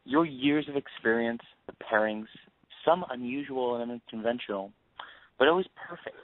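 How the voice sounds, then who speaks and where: A man playing a younger, falsely friendly schemer with a deliberate, flattering manner in an audio play. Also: medium pitch, natural voice. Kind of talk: audio stage-play acting. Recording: tinny narrowband phone-like line.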